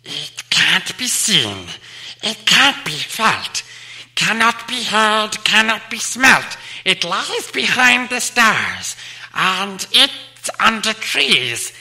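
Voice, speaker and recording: A man voicing a sneaky, hungry cave creature from a storybook, with a strained hiss and drawn-out, wheedling speech. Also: sits high, sing-song, creaky, sharp tone, pitched above the performer's own voice, full character voice. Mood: menacing riddling. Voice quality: hissing, strained. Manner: drawn-out, wheedling, talks to himself. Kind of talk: storybook character voice.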